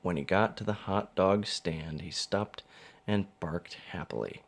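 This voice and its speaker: A man's voice: sits low, a little slow, creaky, slight background noise. A man reading a children's storybook aloud as narrator. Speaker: father reading a picture book.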